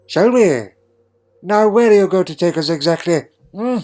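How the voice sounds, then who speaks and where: A man's voice: high voice, animated, moderate pace, darkish tone. A man playing a crotchety, dry-witted elderly time traveller in a fan audio drama, fussy and full of questioning hums. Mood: tetchy, musing. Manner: fussy, punctuated with questioning hmms.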